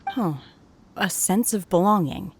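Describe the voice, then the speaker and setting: A woman's voice: mid-range voice, unhurried, a little hiss or hum, natural voice. A woman playing an aloof, solemn young woman in a video game, speaking politely and tersely in a subdued way. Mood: pensive. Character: aloof, reserved, soft-spoken young woman, solemn outsider. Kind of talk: English video game voice acting.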